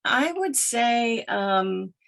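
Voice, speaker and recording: A woman's voice: a little high, animated, extremely slow, creaky, fairly bright tone. A woman answering as an interview guest in a conversational, reflective way, earnest and thoughtful. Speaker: interview guest, audiobook narrator.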